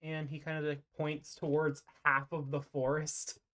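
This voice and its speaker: A game master narrating an improvised tabletop roleplay over an online voice call, often pausing mid-sentence. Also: mid-range voice, flat delivery, fairly bright tone.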